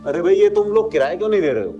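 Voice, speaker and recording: High-pitched, anxious elderly landlord played by a man. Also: sing-song, very fast, mellow, lo-fi sound, faint music behind. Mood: exasperated. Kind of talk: melodramatic short-film acting.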